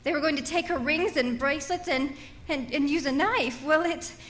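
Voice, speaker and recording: A high-voiced, wealthy invalid wife from an old-time radio thriller. She is fretful and imperious, stumbling over her words as she insists on being obeyed. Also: moderate pace, a bit bright. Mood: agitated, anxious. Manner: flustered, stammering, insistent, haughty.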